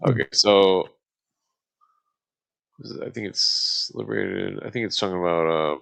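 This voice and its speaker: A man reading aloud casually on a live stream, halting and stumbling over formal text, with muttered asides. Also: low-pitched, gravelly, fairly bright tone.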